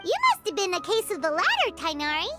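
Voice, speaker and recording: A woman's voice: very high voice, sing-song, fast talker. A woman voicing a tiny, chatty female fairy companion who talks about herself in the third person. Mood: chirpy. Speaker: guest commentator. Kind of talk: video game voice acting. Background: game music.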